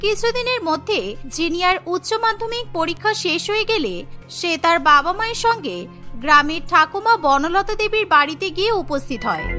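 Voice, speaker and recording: Bright, high-voiced woman storyteller. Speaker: narrator. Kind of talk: scripted cartoon story narration.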